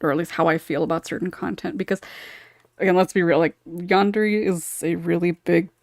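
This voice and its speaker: A woman's voice: fairly low pitch, steady tone, fairly quick, a little mellow, dry recording, clean studio sound. A woman talking casually in a panel discussion, thinking aloud and hedging as she goes. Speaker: podcast panelist.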